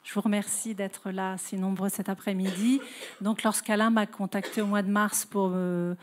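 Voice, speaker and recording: A woman speaks as a guest presenter at a conference. Her pitch sits in the middle range. She moves forward haltingly, hesitating and restarting phrases as she explains. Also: level tone, a little hiss or hum. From Italian-German, grew up in several countries. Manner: hesitant, halting delivery with frequent self-corrections. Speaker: guest speaker, retail consultant.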